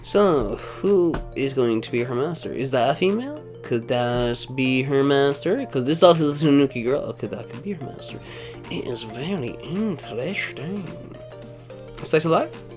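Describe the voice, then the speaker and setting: A man reading out anime synopses and then wondering aloud about each show in casual, animated commentary. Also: mid-range voice, moderate pace, music in the background. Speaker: anime YouTuber.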